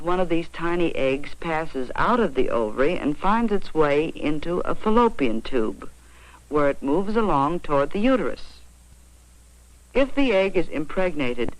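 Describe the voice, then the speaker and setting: An American woman narrating an educational film in a measured, explanatory, carefully phrased way, on a vintage 1940s soundtrack. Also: sits low, no echo, rough recording. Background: faint music.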